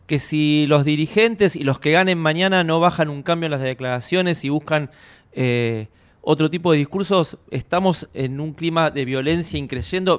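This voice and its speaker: Smooth-voiced radio host. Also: male voice, a little high. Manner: hesitant, many fillers while framing a question. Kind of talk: interview questions.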